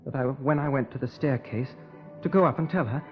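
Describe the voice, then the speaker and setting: An actor playing a heartbroken young man, haltingly confessing to murder in an emotional 1950s radio drama style. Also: medium pitch, faint music behind, close to an everyday voice. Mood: grim, haunted. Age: young adult. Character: heartbroken eighteen-year-old lad confessing to murder. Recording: muffled old radio broadcast recording.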